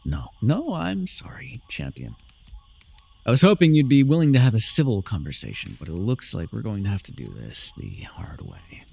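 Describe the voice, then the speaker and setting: Man voicing a theatrical, gravelly warlord villain. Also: lowish voice, wildly swooping pitch, moderate pace, heavily acted. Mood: menacing, silky. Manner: theatrical, sardonic, swinging from soft coaxing to forceful declamation. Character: great and terrible warlord villain, proud, persuasive, menacing. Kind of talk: immersive fantasy audio roleplay, addressed to the listener.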